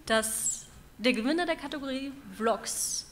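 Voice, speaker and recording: A woman's voice: sits high, unhurried, a little hiss or hum. A woman on a theater stage announcing a category winner, earnest and a little hesitant. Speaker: guest presenter at awards.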